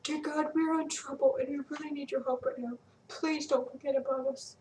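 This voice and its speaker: A woman's voice: high-pitched, steady tone, moderate pace, a little hiss or hum, voice pushed up, lightly acted. A woman reading a storybook, voicing an earnest young boy who comforts his friend, with a roomy echo from a distant mic. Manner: soothing, earnest.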